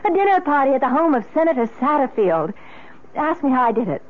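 A woman's voice: sits high, sing-song, fast. A breezy, ambitious American society wife in a 1970s radio drama. Her middle-aged voice is arch and polished, moving freely up and down. The recording is thin and phone-like. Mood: lively, proud. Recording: narrow, phone-like old radio sound.

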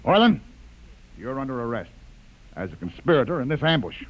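A man voices a hard-nosed lieutenant in a vintage radio play, snapping out commands with big swings of pitch. The voice rings with a long reverb under loud transcription hiss. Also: very animated, a little fast, highs cut off, lightly acted. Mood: harsh. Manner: barking orders, commanding. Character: arrogant military lieutenant, harsh expedition leader. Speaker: actor in a radio drama. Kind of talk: 1950s radio drama acting. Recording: hissy old radio broadcast with reverb.

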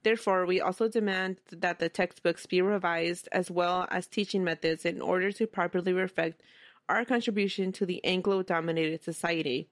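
Podcast host, woman, low register, conversational, reads quotes aloud, dry sound. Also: fairly even intonation, moderate pace, dry recording. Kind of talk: casual history podcast storytelling.

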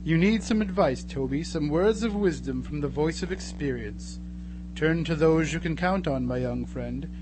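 A man's voice: fairly high pitch, moderate pace, noisy background, close to an everyday voice. A man playing a wry, knowing narrator of a horror radio drama, theatrical and mock-sympathetic. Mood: wry, ominous.

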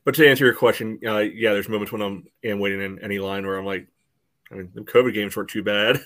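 A man's voice: on the low side, steady tone, fast, a bit bright. A man co-hosting a casual sports podcast in a loose, meandering conversational way. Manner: loose, meandering, peppered with "you know". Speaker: podcast co-host.

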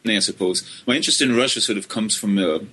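A middle-aged man from Finland, a history professor telling his story in an interview, halting with many restarts. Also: lowish voice, sharp tone. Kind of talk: relaxed interview answers.

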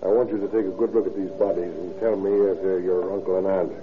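A muffled, noisy vintage radio drama. An actor plays a no-nonsense male police investigator. His voice is fairly low, brisk and commanding. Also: fairly even intonation, close to an everyday voice. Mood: businesslike. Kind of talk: old-time radio drama acting.

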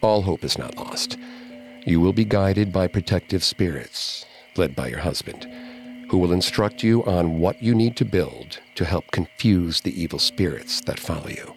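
A man playing a grave, deep-voiced spirit medium. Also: lively intonation, normal speed, soft background music. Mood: solemn. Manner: grave, warning. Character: solemn male spirit medium giving grave warnings.

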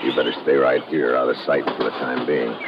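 A man's voice: extremely low pitch, flat delivery, brisk. A man playing a tough, wary American adventurer in an old-time radio drama, narrating and talking in a clipped, slangy, hard-boiled manner. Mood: wary, low. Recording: muffled, very noisy vintage radio recording.

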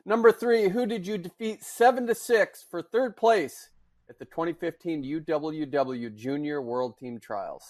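A man running a quick trivia segment on a podcast, reading questions and confirming answers in a brisk, matter-of-fact way. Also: on the high side, a little mellow. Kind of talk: running a quiz.

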